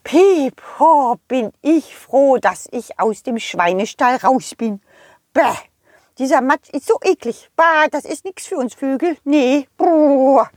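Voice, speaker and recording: A woman voicing a small, excitable male bird who peeps and stammers in a children's audio play. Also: sits high, slow pace, no room sound, clean studio sound. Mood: relieved, disgusted. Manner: chirpy, interjects peeps and stammers.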